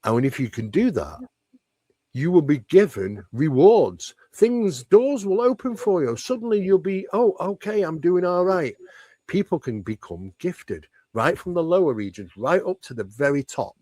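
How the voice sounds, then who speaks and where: A man's voice: on the high side, very animated, normal speed, warm tone, no room sound. A man speaking as an interview guest, explaining conversationally and emphatically, with rhetorical questions and repeated phrases. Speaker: interview guest, paranormal researcher.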